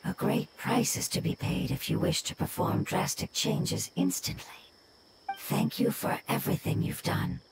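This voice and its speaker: A woman's voice: on the low side, unhurried, gravelly, very noisy. A woman voicing a wise mushroom spirit in a video game, with formal, precise wording. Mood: wise, calm.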